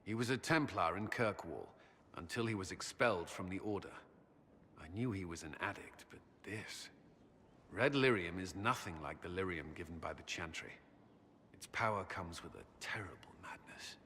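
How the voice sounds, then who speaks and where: Grave, bright-edged low-voiced male commander. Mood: grave briefing. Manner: earnest, measured, formal. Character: grave, duty-bound male military commander, weary former knight. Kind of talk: dramatic video game cutscene acting. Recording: hall reverb with background noise.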